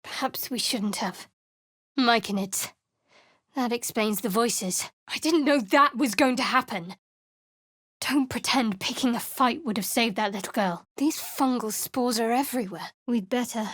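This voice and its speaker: Game role: guarded young woman cleric of a dark faith, wry and controlled, lighter pitch, very dry recording. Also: dry recording. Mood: wary, measured. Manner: measured, wry, secretive. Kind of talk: video game character voice lines.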